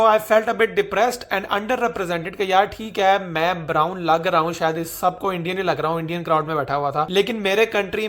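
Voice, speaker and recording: A young Pakistani man with a high, light voice and a Pakistani Urdu accent. He talks to camera in a loose, earnest monologue that drifts between Urdu and English, rambling and self-mocking. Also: fairly bright tone. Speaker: vlogger.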